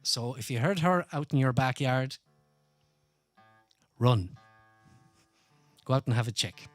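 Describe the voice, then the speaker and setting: A middle-aged Irish singer-songwriter talking loosely between songs at a live studio session, with plenty of ums and uhs. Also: male voice, medium pitch, takes it slowly, no echo. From Ireland. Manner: relaxed, rambling spoken intro with many fillers. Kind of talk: live studio performance with song introduction. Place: music studio. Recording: live studio with music playing.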